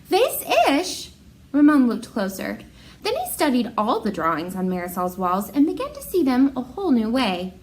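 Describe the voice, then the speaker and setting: A woman teacher with an expressive, encouraging manner, reading a picture book aloud and talking directly to young pupils. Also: a little high, normal speed.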